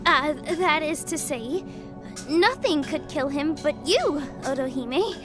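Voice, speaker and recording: A high-voiced fox girl, anxious, whiny and hopelessly lovestruck. She talks in casual youthful phrases, in a mythological audio drama with clear music behind the dialogue. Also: expressive, slow pace. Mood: nervous flattery.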